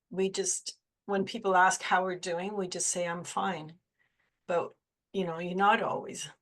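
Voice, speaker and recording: Candid woman with a low voice. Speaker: panelist. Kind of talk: panel discussion.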